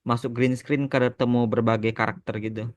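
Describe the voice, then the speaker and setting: A man's voice: mid-range voice, little pitch movement, normal speed. A man hosting a casual podcast chat, mixing English phrases into his talk. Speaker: podcast host and animation creator answering fan questions.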